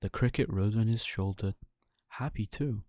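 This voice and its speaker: A man's voice: fairly low pitch, moderate pace, warm tone, no room sound. A man narrating a children's bedtime story as a storyteller.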